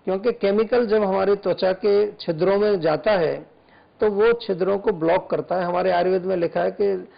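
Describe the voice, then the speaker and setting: A male Ayurvedic doctor on a studio health show, calmly explaining remedies and advice step by step. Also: high voice, normal speed, vocal fry, lo-fi sound. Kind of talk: studio health talk, advising callers.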